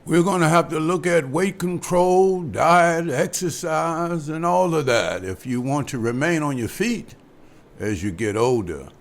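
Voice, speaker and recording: Calm doctor, read by a man; gravelly, advising. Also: a little high, a little slow. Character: doctor, and a concerned husband.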